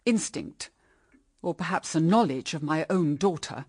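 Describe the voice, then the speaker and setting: A woman's voice: low-pitched, expressive, measured pace, a little mellow, slightly rough, no echo, studio quality, full character voice. An actress playing a stern, moralising middle-aged English mother in a period radio drama, with formal, emphatic diction. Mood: grave recounting.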